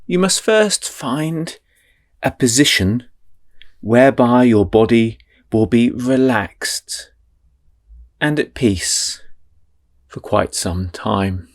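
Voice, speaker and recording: A man leading a guided meditation in a slow, soothing, drawn-out way. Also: medium pitch, expressive.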